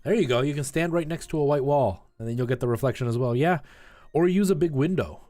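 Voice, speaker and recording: A man's voice: a little high, fast talker, dark tone. Casual guy streaming, music behind him. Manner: informal, rambling asides to viewers. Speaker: game streamer, host. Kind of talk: casual live stream chat while playing a retro game, giving tips to chat.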